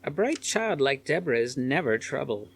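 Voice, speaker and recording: A kindly old-world lady as a male narrator plays her, elderly and dignified. The pitch is lifted well above a man's natural talk, and the manner is gracious and formal, as in an audiobook novel. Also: sing-song, normal speed, creaky, breathy edge, heavily acted. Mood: grave.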